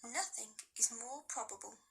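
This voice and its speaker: A woman voicing an old, dry, patient male professor in a storybook reading, measured and soft. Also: a little high, creaky, a little hiss or hum, exaggerated voice, low-quality recording.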